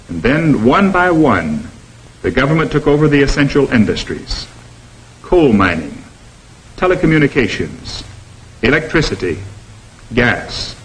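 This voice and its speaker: A man narrating a 1950s documentary film in a measured, emphatic delivery. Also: medium pitch, fairly bright tone, slight background noise, poor audio.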